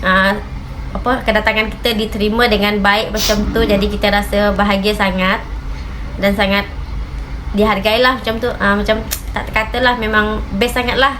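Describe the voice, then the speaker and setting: A woman's voice: mid-range voice, level tone, moderate pace, noisy background. A woman from Malaysia with a Malaysian Malay accent, telling a travel story in a casual, chatty vlog, full of "okey" and "macam" fillers. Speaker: reaction channel vlogger.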